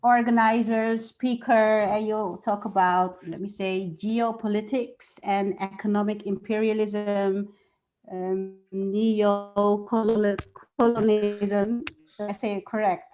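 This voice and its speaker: A woman's voice: mid-range voice, flat delivery, slow pace, a little mellow, muffled recording. A woman hosting a live event, introducing speakers in a casual, spoken way. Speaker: host introducing team members.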